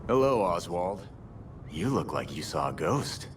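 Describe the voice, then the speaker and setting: An actor playing a brooding, sardonic undead vigilante in a video game, a big gruff man who speaks dryly. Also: low-pitched, big pitch swings, a little hiss or hum. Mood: dry menace. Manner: dry, sardonic, unhurried. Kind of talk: video game cutscene acting.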